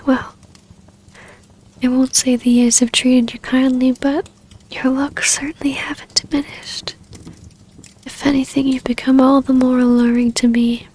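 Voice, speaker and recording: A woman playing a tender, yearning vampire baroness in an intimate ASMR roleplay, speaking softly and breathily with hesitant, formal, old-fashioned phrasing and often sinking toward a whisper. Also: sits high, level tone, measured pace, low-quality recording.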